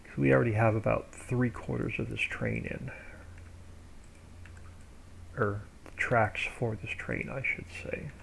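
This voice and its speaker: A man with a very gravelly voice of ordinary pitch, talking loosely and steadily as he works. He thinks out loud in a casual, rambling way into a home desk microphone, with faint noise in the background. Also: unhurried, pauses often. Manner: thinks aloud while working, relaxed and rambling. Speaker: live streamer building a game map. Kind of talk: casual live-stream commentary.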